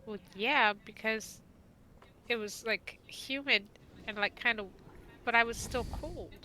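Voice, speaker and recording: Bright, stuffy-nosed chatty woman. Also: a little high, measured pace, slight background noise, rough recording. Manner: chatty, playful. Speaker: co-player. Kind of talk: casual gameplay chat.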